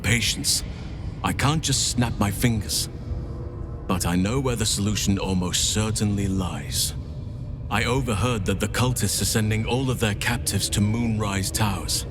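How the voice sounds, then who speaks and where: A man acting as a grave, learned druid in a fantasy video game. His voice sits low, and he explains things in a formal, deliberate way. Music plays clearly behind him. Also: lightly acted. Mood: serious, reassuring. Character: gravely concerned male druid scholar, measured and learned.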